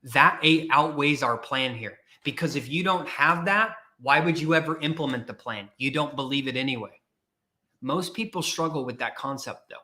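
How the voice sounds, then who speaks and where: Emphatic, bright-voiced male career coach. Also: medium pitch, normal speed. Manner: emphatic and repetitive, challenging the listener directly. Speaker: podcast host and career coach. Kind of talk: motivational livestream talk.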